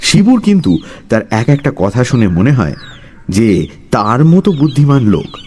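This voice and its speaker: A man telling a story as a dramatic, scripted narration in a husky voice. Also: medium pitch, sing-song, normal speed, darkish tone, a little hiss or hum.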